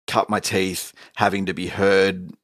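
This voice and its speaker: A man's voice: sits low, unhurried, no echo, studio quality. A man, a musician guest talking casually and animatedly in a podcast interview. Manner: chatty, animated, full of fillers.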